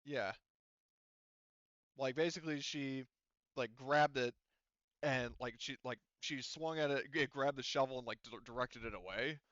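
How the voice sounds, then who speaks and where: A man's voice: medium pitch, a bit bright, no echo. Casual man running a game, slightly dull audio. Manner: casual, halting delivery while working out rules. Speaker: game master. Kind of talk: running an online tabletop roleplaying game, narrating combat and thinking aloud.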